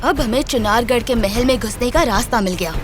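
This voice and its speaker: Confident scheming adventuress, played by a woman: slightly high voice, composed, knowing, audio drama with music bed. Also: a little fast, lightly acted. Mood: shrewd, composed. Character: shrewd, confident female adventurer scheming alongside a prince. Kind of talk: Hindi audio drama acting.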